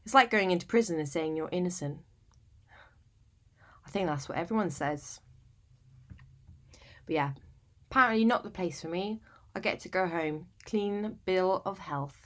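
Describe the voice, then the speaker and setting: A woman's voice: on the low side, normal speed, long pauses, natural voice. A woman with a British accent acting a conversational monologue as a lonely, eccentric, wryly matter-of-fact woman, chatty and self-deprecating. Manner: chatty, colloquial, self-deprecating, tag questions. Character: lonely, sleep-deprived, eccentric woman, wryly matter-of-fact about her bleak life. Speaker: monologue performer. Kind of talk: confessional dramatic monologue, conversational.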